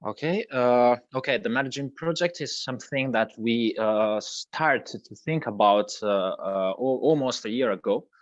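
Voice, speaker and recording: A man's voice: medium pitch, normal speed, hardly any pauses, no echo. Hesitant Italian man with muffled sound. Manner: hesitant, many fillers and restarts. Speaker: crypto project co-founder guest. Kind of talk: online video interview.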